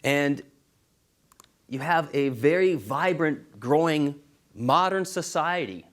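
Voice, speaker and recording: A man's voice: a little high, lively intonation, slow pace, a bit bright. A man from Israel, a law professor, giving a university seminar talk in an earnest way and stressing key figures.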